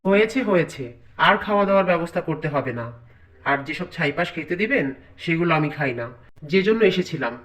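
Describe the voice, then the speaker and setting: A man's voice: on the high side, lively intonation, brisk, a bit bright, recorded from a distance. A man voicing a rude, greedy young husband in a cartoon, curt, scornful and demanding. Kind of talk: cartoon voice acting.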